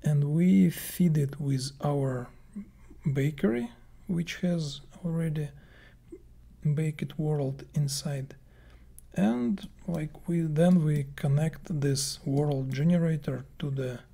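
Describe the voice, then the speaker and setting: Guy doing a screen tutorial, slow and halting, soft husky voice that drops to whispers. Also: on the high side. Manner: slow, halting, thinking aloud with many fillers. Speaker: game plugin developer. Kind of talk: screen-recorded software tutorial.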